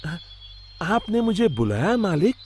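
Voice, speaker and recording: A man's voice: high voice, sing-song, slow pace, a little mellow, a little hiss or hum, voice pushed up, full character voice. A man voicing an honest, simple and timid servant in a cartoon story, deferential and cautious with his master. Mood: meek, obedient. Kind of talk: cartoon moral story acting.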